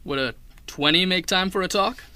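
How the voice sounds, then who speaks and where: A young man playing a hard-boiled, wry detective who narrates a 1930s noir radio drama in a deadpan way. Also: sits high, normal speed. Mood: pushy. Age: young adult. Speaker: narrator and lead actor.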